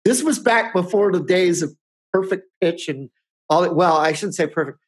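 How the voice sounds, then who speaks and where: A man's voice: high-pitched, moderate pace, slightly rough. A man in his fifties, co-hosting a casual podcast, talking in a rambling way with many restarts. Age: 50s. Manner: rambling, restarts often, chuckles. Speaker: podcast co-host. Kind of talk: casual chat.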